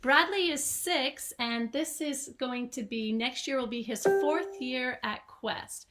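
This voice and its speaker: A woman's voice: sits high, takes it slowly, over loud music. A woman who teaches children, reading a picture book aloud expressively and chatting to young listeners. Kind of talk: children's storytime reading and chat.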